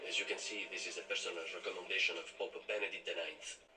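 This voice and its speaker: A man's voice: low voice, little pitch movement, fast talker, lightly acted. Echoey, solemn church envoy played by a man. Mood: solemn, urgent. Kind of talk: television drama acting. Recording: distant echoey TV playback under loud music.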